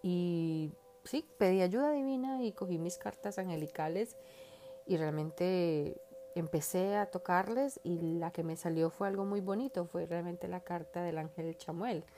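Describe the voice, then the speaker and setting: A woman's voice: on the low side, unhurried, warm tone, a little hiss or hum. A woman hosting her own podcast in a casual, earnest and heartfelt monologue, rambling with lots of fillers. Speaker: podcast host.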